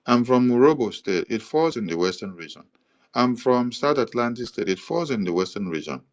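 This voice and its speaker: A man with a Nigerian accent giving an explanatory monologue, listing items in a steady, repetitive rhythm with occasional hesitations. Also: medium pitch, a little fast. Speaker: commentator presenting a map.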